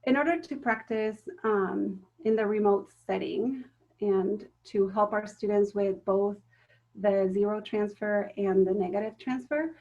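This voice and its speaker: A woman's voice: mid-range voice, fairly even intonation, unhurried, darkish tone, lo-fi sound. A woman giving a recorded talk to teachers, explaining step by step with frequent ums and self-corrections. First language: Spanish. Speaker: teacher trainer.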